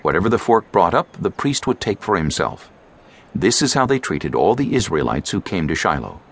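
A man narrating scripture from a script as a measured, expressive storyteller. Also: low voice, slightly rough.

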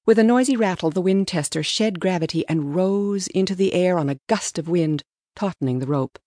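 A woman narrates an audiobook story from a script. Her voice is low for a woman and recorded dry and close, with little room sound. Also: normal speed, warm tone, dry recording.

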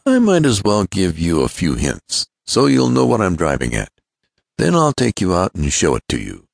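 A man's voice: low-pitched, huge pitch swings, normal speed, gravelly, no echo, studio quality, pitched above the performer's own voice, exaggerated voice. A man voicing an earnest, confident young male inventor in an adventure storybook, explaining things keenly. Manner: explanatory, keen.

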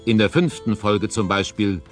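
Deep-voiced male storyteller narration. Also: soft background music. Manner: measured, storytelling. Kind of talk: scripted audiobook trailer narration.

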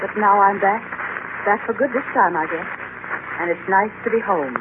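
An actress playing a gentle, well-bred young lady in 1930s radio style. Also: mid-range voice, moderate pace, lightly acted. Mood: contented. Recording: noisy, narrow-band 1930s radio recording.

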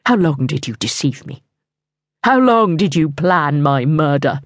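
A woman's voice: sits low, expressive, a little slow, no echo, studio quality. A woman reading the part of a murdered king's ghost, bitter and accusing.

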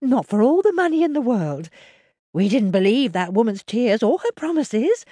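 A woman voicing a soft-spoken, kindly farmer's wife. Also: a little high, big pitch swings, moderate pace, dry recording, clean studio sound, pitched below the performer's own voice. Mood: gentle.

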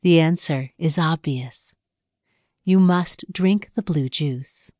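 Slow, low-pitched woman guiding a meditation. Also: darkish tone, dry recording, clean studio sound. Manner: measured, soothing instructional delivery with pauses. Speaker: audiobook narrator, meditation guide. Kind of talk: scripted guided meditation narration.

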